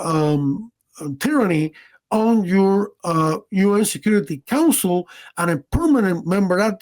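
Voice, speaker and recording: A man's voice: sits high, darkish tone. A man from Cuba, giving a slide presentation as a director of education. He speaks earnestly, pressing hard on single words, and stumbles into fillers and restarts when reading out details.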